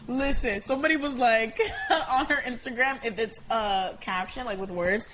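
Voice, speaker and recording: A woman co-hosting a casual podcast chat, blunt and teasing with quick comebacks. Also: on the high side, crowd noise, rough recording. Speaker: podcast co-host.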